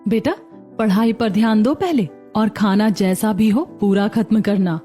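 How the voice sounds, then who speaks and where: A woman's voice: mid-range voice, unhurried, mellow, soft background music, close to an everyday voice. A woman playing a firm, practical, admonishing mother in a cartoon story. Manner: admonishing, instructive. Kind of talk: Hindi cartoon story dialogue.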